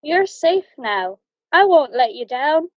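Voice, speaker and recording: Lady doing a kind big elephant from a kids book, high voice, really earnest, dry muffled recording. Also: very animated, slow, no room sound, heavily acted. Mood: worried, resolute. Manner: pleading, earnest rhyming speech. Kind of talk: children's storybook read-aloud.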